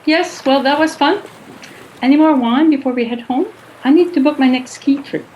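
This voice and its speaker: A woman's voice: high-pitched, normal speed, muffled recording, close to an everyday voice. A woman playing a breezy, self-absorbed, well-off passenger in a radio play. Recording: faint background noise.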